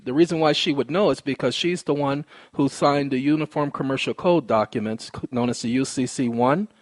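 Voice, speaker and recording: Man, mid pitch, formal, insistent, polite, precise wording, complaint call, dry sound. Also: a little fast, no room sound. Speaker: caller, presenter of own recorded phone call. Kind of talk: formal phone complaint and explanation to listeners.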